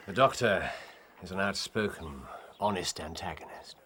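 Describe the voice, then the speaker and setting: A man's voice: low voice, moderate pace, fairly bright tone, slight background noise. A man playing a keen, confident detective in a period radio drama, with theatrical, formal old-fashioned phrasing. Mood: intent, musing.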